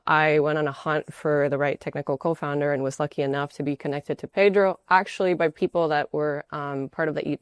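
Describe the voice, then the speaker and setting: Woman from peru, podcast guest, low voice, smooth and clear, dry close sound. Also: no room sound. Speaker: co-founder, guest. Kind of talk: podcast interview.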